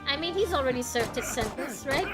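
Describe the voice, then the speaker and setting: Higher-voiced woman streaming a game. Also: animated, fairly quick. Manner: playful, reacts aloud to the game. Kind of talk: casual gameplay commentary. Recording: game music behind the voice.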